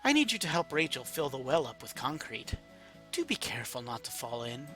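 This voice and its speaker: A man's voice: mid-range voice, big pitch swings, fairly quick, over music, natural voice. A man voicing a silky, flirtatious and formal female mayor in a read-aloud story. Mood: businesslike. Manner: genteel, formal, drawling.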